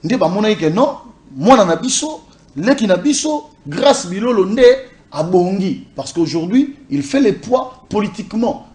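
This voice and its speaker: A man from DR Congo's Congo Central making his case in a political interview. He speaks emphatically, hammering points home with repeated lists, in a fairly high voice. As the party's communication officer he argues with insistence. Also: animated, moderate pace, a little mellow. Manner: emphatic, repetitive listing. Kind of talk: political interview, code-switching.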